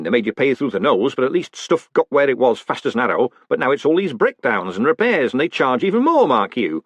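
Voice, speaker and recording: Man voicing an eager, high-pitched little provincial mayor. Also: dry recording. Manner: folksy, deferential, repetitive colloquial grammar. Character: short, bird-like provincial mayor, nervous, eager, chummy tradesman.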